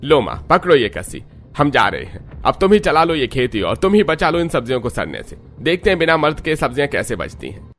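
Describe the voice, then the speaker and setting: A man's voice: fairly high pitch, sing-song, fairly quick, fairly bright tone, slight background noise. A man voicing a rude, resentful village son and husband in a cartoon, contemptuous and scolding. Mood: defiant, angry.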